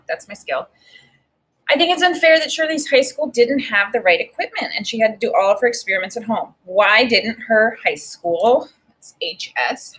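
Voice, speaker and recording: A woman teacher reading a children's book aloud and pausing to think aloud about it. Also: fairly high pitch, slightly rough, poor audio. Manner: reads with expressive emphasis, pauses to think aloud. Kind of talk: read-aloud lesson with think-aloud.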